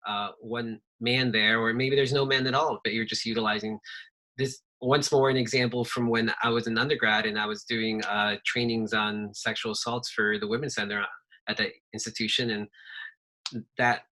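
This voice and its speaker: A man's voice: lowish voice, level tone, normal speed, pushed and bright, low-quality recording. A man whose first language is Spanish, speaking conversationally with many fillers as a panelist in an online workshop over a video call.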